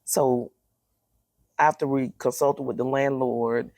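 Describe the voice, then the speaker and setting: A woman's deep, raspy voice with a gravelly crackle, recorded close and dry. She is middle-aged and speaks candidly and expressively, like a guest sharing her own story in a relaxed interview. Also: slow pace, dry recording.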